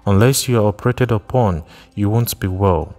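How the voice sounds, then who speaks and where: A gentle, sympathetic village doctor in a storybook reading, as a male narrator voices him, with a low, steady, reassuring tone. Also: moderate pace, long reverb tail, faint music behind, natural voice.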